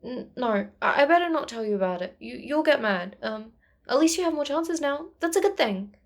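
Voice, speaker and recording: A young boy of about eight, acted by a woman narrator, speaks in a raised, childlike pitch. He is apologetic and nervous, stumbling over his words in hesitant bursts, as in an audio story. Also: lively intonation, normal speed. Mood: nervous, sheepish. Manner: stammering, hesitant.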